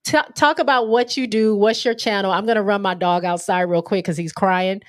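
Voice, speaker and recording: A woman's voice: mid-range voice, steady tone, normal speed, dry recording, clean studio sound. A woman with an American accent hosting a casual livestream, informal and blunt as she chats with viewers. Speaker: livestream host. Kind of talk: casual chat, reading viewer comments.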